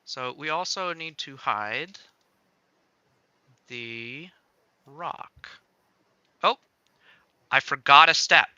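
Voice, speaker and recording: A man hosting a livestream, casually walking through game-making software step by step, thinking aloud in a playful way. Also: on the high side, very slow, long pauses, clear, resonant tone, dry recording, lo-fi sound.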